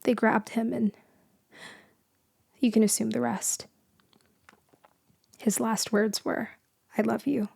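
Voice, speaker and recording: Actress as haunted female survivor for audio roleplay: hushed, halting, mellow, close and dry. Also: on the high side, level tone, gravelly, slightly rough, dry recording, heavily acted. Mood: grieving. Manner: halting, hushed, dramatic pauses. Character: shaken, grieving woman survivor recounting a shipwreck. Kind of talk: intimate storytelling ASMR roleplay.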